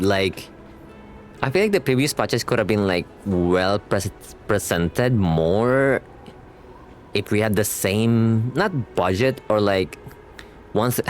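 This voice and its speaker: A young man reacts to a game as a streamer in a fairly low voice. He talks casually and slangily, circling back to rephrase his thoughts. Music plays faintly behind him. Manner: rambling, slangy, repeatedly rephrasing.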